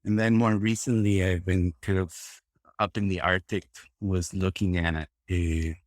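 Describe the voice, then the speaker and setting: A man's voice: low voice, fairly even intonation, a little slow, no room sound. A man from Rica, a guest scientist talking casually in an interview, hesitant with many fillers and restarts. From Costa Rica. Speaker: guest plant ecologist.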